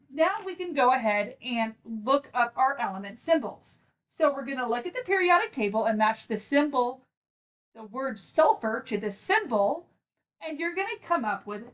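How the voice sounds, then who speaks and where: A woman with a fairly high voice walks learners through a lesson one step at a time. Her delivery is informal and encouraging, addressed straight to the listener. The recording sounds a little dull, as if she sits some way from the mic. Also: far from the mic. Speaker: teacher and channel host.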